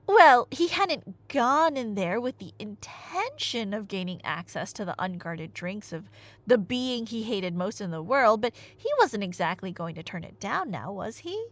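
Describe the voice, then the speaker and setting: A woman's voice: medium pitch, very animated, a little slow, a bit bright. A woman telling a story in a casual, playful roleplay as a nerdy girlfriend, conversational and teasing, with dramatic emphasis, close to the microphone. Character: nerdy girlfriend excitedly retelling Greek myths. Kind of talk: animated casual storytelling roleplay to a listener, ASMR audio.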